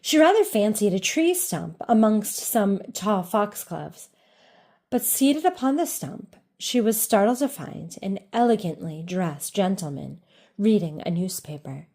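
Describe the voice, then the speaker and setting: A woman narrates a children's storybook, reading aloud in an expressive, deliberate way. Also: medium pitch.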